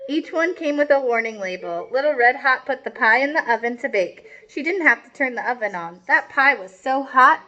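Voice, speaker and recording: A woman's voice: sits high, lively intonation, moderate pace, few pauses, pushed and bright, dull audio. A woman storyteller reading a picture book aloud for children, with casual asides.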